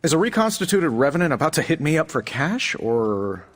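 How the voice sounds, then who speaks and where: Glib billionaire hero, long reverb. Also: male voice, on the high side, big pitch swings, brisk. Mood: breezy, sardonic. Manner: breezy, sardonic, self-assured. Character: glib, wisecracking billionaire inventor superhero. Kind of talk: video game cutscene acting. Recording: reverberant hall, faint music behind.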